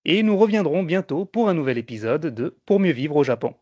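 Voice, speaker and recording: A man co-hosting a radio information magazine, explaining things in a measured, presenter-like way. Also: on the high side, very animated. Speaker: radio co-host.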